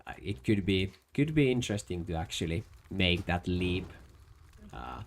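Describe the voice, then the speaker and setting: Low-voiced Finnish man streaming a game. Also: lively intonation, moderate pace. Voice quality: hesitant, many fillers and restarts. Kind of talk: casual stream chatter while playing. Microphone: desk microphone.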